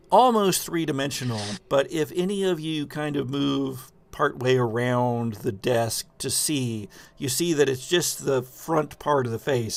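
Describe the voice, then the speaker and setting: A man's voice: medium pitch, creaky. A man narrating a tabletop horror game as the game master.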